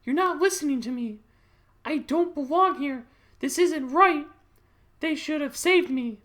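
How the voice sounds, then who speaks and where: Someone putting on a shrill, frantic young woman captive. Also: a bit bright. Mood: distressed protest. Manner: whiny, drawn-out protesting.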